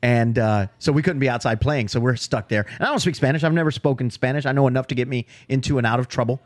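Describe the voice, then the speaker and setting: A man's voice: medium pitch, fast, no echo. A man co-hosting a podcast, telling stories in a casual comedic way and acting out the voices of people in them. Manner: animated, acts out voices in his stories. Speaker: podcast co-host.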